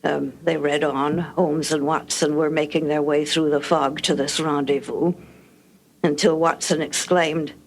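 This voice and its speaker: An older woman with a low voice, reminiscing about radio production in a measured, articulate, dryly humorous way. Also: little pitch movement, rough recording. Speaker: guest recalling radio production history. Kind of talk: reminiscing anecdotes.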